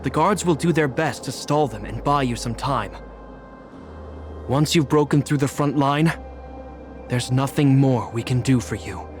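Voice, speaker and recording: A dutiful male guard captain in a video game, played by an actor, speaks in a medium-pitched voice. His delivery is formal, stern and commanding. Music is clearly audible behind him. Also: measured pace, long pauses, close to an everyday voice. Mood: grave, formal.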